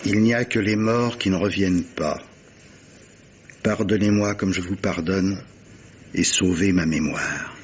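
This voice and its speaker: An actor playing a grave gentleman captain in a radio mystery, speaking in a measured, insistent, formal way, in a 1950s French style. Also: low voice, highs cut off, slight background noise. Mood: solemn. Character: grave gentleman captain pressing a guilty woman with hypotheticals. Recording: old radio drama recording.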